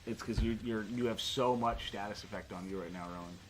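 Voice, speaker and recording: A man's voice: fairly low pitch, fairly quick, rough recording. A man acting as game master, casually narrating tabletop fight scenes in informal, sweary language. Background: guitar music.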